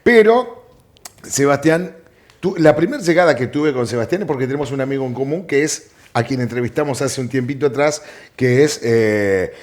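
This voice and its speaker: A man's voice: mid-range voice, moderate pace. A man, chatting casually as the interviewer. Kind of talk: casual chat.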